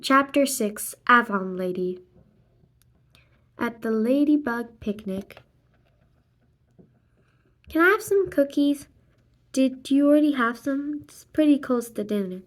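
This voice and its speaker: Slow-paced woman reading a children's book aloud. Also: high-pitched, lively intonation. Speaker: read-aloud narrator. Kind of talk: expressive read-aloud of a children's graphic novel, voicing dialogue and sound effects.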